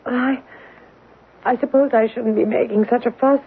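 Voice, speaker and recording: Anxious wife, higher-pitched voice. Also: female voice, steady tone, normal speed, breathy edge. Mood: apologetic, earnest. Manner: emotional, earnest. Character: anxious, apologetic haunted wife. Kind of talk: 1970s radio drama acting. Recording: tinny, hissy old radio recording.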